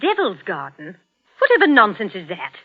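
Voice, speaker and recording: Haughty nagging wife, old radio. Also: female voice, medium pitch, wildly swooping pitch, moderate pace, faint music behind. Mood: scornful. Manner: imperious, clipped sarcasm. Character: haughty, scornful nagging middle-aged wife. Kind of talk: 1940s radio acting. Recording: dull 1940s radio recording.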